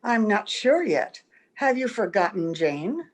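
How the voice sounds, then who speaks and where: A woman playing a wistful, secretive gentlewoman in old-time radio drama style, earnest and gentle with a melodramatic touch. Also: medium pitch, big pitch swings, a little slow. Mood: coolly polite. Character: wistful, secretive mother posing as a young visitor. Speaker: actor in an amateur radio play. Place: at home, recorded over video call.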